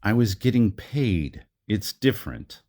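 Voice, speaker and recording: Gruff gun-for-hire with a code, male actor, audio drama: very deep, tough, plain-spoken. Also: big pitch swings, measured pace, darkish tone. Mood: gruff, dismissive. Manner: blunt, colloquial, tough. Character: gruff, self-deprecating hired gun with a code.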